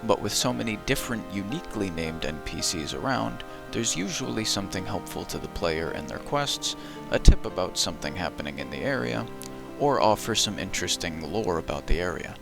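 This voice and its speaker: A man's voice: sits low, lively intonation, fairly quick, vocal fry, over music. A man in his adult years giving scripted, dry and wry voice-over narration as a video essayist. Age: adult who played as a child in the early 2000s.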